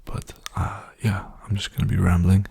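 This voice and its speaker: A young man speaking softly in a hushed, breathy, soothing whisper, rambling casually for an ASMR video. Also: deep voice, little pitch movement, normal speed. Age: young adult.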